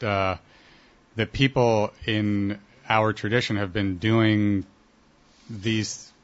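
A man's voice: lowish voice, a bit bright. A young man from Ohio answering interview questions casually, with many hesitant fillers. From Columbus, Ohio. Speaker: guest. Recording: dull lo-fi broadcast audio.

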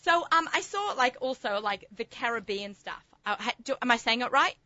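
A woman's voice: on the high side, animated, moderate pace, sharp tone, dry recording, lo-fi sound. A middle-aged woman with an Australian accent, hosting a food show in a chatty, enthusiastic, rambling way. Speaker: host of a food talk show. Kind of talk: casual chatty radio interview. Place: studio.

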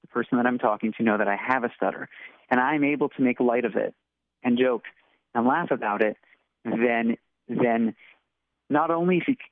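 A man's voice: mid-range voice, normal speed, pauses often, no echo. A man, author and interview guest, who speaks with a stutter and often repeats words. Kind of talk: interview conversation. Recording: tinny phone line.

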